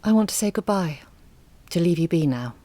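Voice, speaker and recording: Low, understated, reserved woman. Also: expressive, mellow, no echo. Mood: resigned, firm. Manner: measured, understated, articulate. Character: reserved, selfless single mother, gently firm and sad. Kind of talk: audio drama acting.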